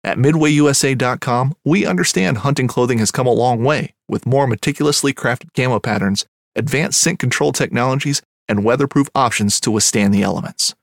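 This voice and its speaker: A man's voice: medium pitch, fairly quick, creaky, few pauses, no echo, studio quality. A man reading a scripted ad as an announcer, with a polished delivery. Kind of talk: scripted ad read.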